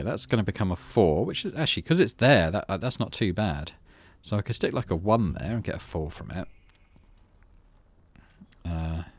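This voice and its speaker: A man hosting a gaming video, reading story text aloud and adding casual commentary as he plays. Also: sits low.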